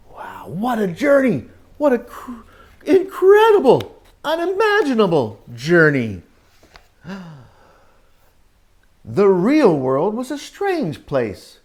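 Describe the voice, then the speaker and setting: A man reads a storybook to young children like a teacher. His pitch swings widely, and he takes the story at a slow pace. He is expressive, with exclamations and questions put to his young listeners. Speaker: teacher reading a picture book to young children. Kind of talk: animated read-aloud storytelling with asides to children.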